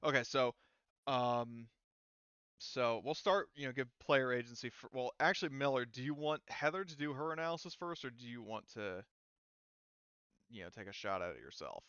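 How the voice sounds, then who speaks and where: Casual male game master, smooth clear voice. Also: mid-range voice, animated, moderate pace, fairly bright tone, dry recording, highs cut off. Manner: casual, conversational. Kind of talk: improvised narration of a tabletop roleplaying game.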